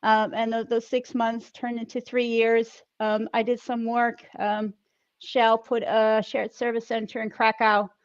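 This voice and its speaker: A woman's voice: fairly high pitch, little pitch movement, a little slow, no room sound, highs cut off. A woman from the Netherlands who grew up partly in South America, speaking conversationally as an interview guest. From Netherlands and South America, mostly Colombia. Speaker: interview guest, corporate global mobility manager. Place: at home, on an online call.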